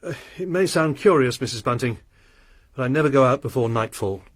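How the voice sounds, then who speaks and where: A secretive male lodger, as an actor plays him in a radio drama, formal and courteous in an even, mid-pitched voice. He speaks with careful, slightly mannered politeness. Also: brisk, lightly acted.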